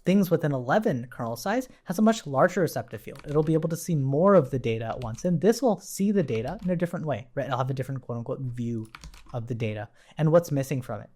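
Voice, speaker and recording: A man gives an informal programming lesson, thinking aloud as he works. His delivery is explanatory and very varied in pitch. He regularly checks in with the listener as he explains each step. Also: animated, quick pace, a little mellow. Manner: explanatory, often checks in with "right?". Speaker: programming instructor.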